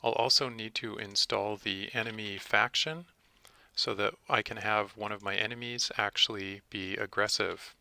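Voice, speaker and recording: A man narrating a software tutorial as its presenter, methodically explaining things step by step. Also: fairly low pitch, steady tone, moderate pace, gravelly, dry recording. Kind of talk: instructional screencast narration.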